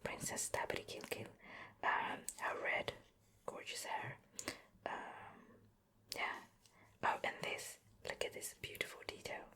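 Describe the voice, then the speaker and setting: A native Swedish-speaking woman whispering a soft, breathy ASMR show and tell in a casual, rambling, hesitant way. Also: unhurried, long pauses. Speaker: ASMR video host.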